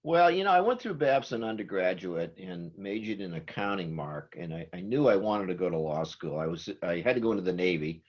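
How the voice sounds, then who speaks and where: A man's voice: sits low, lively intonation, fairly quick, hardly any pauses, muffled recording. A man in his seventies from Massachusetts with a Boston accent, chatting as a guest and laughing easily. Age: 70s. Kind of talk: casual video call chat.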